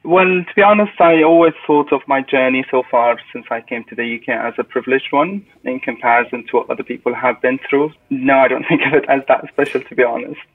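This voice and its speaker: A young man answers interview questions in a soft, thoughtful way, now and then restarting a phrase. His voice sits at a middle pitch for a man. It comes through thin and tinny, like a phone call. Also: fairly even intonation, a little fast, hardly any pauses. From Syria. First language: Arabic. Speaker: podcast guest. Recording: tinny phone-line sound.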